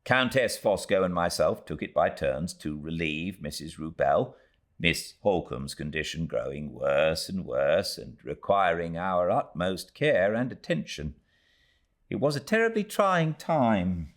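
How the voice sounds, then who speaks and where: A man with a British accent reading a classic novel aloud as an audiobook narrator, in a measured, expressive storytelling manner. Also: low voice. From Britain.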